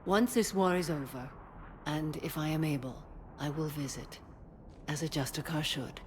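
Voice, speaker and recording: An actress playing a stern, ageless alien warrior-nun and mother in a video game cutscene, speaking in a solemn, measured, formal way. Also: sits low, darkish tone, slight background noise, lightly acted. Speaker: justicar. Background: orchestral score.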